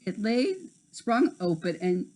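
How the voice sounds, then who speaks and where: A man's voice: high voice, very animated, takes it slowly, darkish tone. A man reading a children's story aloud as narrator, now and then stumbling over a word and rereading it. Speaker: storybook reader.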